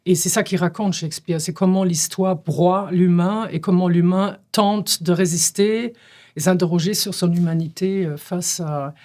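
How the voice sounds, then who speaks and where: A woman's voice: lowish voice, steady tone, moderate pace, dark tone. A woman whose first language is German, a stage director speaking in a reflective conversation, thinking aloud and searching for words with frequent hesitations.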